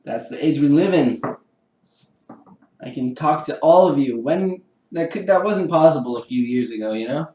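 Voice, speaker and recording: A man's voice: on the high side, expressive, moderate pace, dark tone, reverberant, a little hiss or hum, low-quality recording. A man chatting casually with live-stream viewers while assembling a kit, laughing often and poking fun at himself. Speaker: livestreamer building a 3D printer kit. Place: home room with a garage downstairs.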